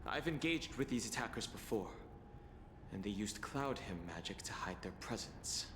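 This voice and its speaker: An actor playing a composed, knowing man in a video game, explaining things in a grave, deliberate way. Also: on the low side, expressive, lightly acted. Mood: grave, explanatory. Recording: echoey hall, clear background ambience noise.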